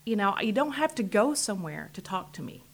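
A woman with a Texas accent answering interview questions as a political candidate, earnest and measured. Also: mid-range voice, very animated, creaky.

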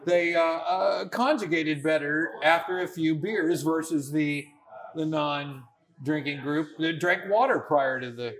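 A man's voice: on the high side, unhurried. A man hosting a casual talk show, chatty and full of restarts. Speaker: radio show host. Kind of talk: casual chat.

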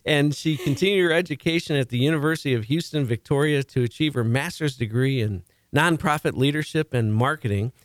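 A man's voice: medium pitch, fairly quick, few pauses, no echo. A man with a Chicago accent hosting a radio talk show, chatty and jokey, stumbling and restarting now and then.

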